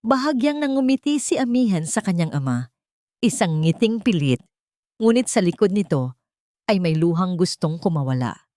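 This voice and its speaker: Filipino female storyteller for audio drama; low voice, lively intonation, solemn and emotive delivery. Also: normal speed, dry recording. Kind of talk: dramatic scripted story narration.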